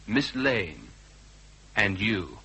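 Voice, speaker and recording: A man playing a shrewd British gentleman detective in a radio drama, questioning people in a measured, clipped, polite and persistent way. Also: on the low side, big pitch swings, slightly rough, full character voice, low-quality recording. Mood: cool, probing.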